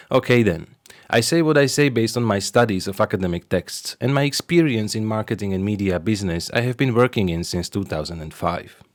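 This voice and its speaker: A man with a Slovak accent, a native Slovak speaker, presenting a scripted explanatory monologue as a sociologist and marketer, in a measured, deliberate way. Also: fairly low pitch, dry recording, studio quality.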